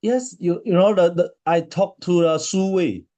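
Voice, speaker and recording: A man from China with a Chinese accent, a native Chinese speaker, answering interview questions in halting English and often searching for words. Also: sits high, warm tone. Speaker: interview guest, marathon swimmer.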